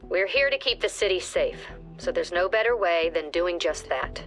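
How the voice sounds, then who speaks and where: An actress voicing a calm, authoritative agency director giving businesslike mission briefings in a video game. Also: female voice, fairly low pitch, moderate pace, faint music behind, lightly acted.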